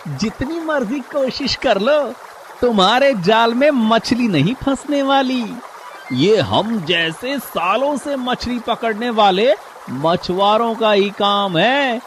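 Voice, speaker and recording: A man's voice: high-pitched, sing-song, slow pace, a bit bright, a little hiss or hum, heavily acted. A man voicing a cocky young fisherman in a cartoon story, with a taunting, teasing manner. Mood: mocking.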